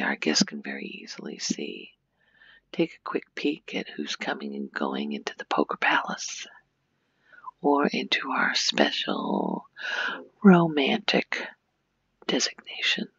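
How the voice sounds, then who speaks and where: A woman giving a soft-spoken ASMR game tour, hushed and often slipping into a whisper, breathy and creaky, rambling unhurriedly as she thinks aloud. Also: very deep voice, wildly swooping pitch, a little slow, no room sound, dull audio. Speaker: gaming ASMR host.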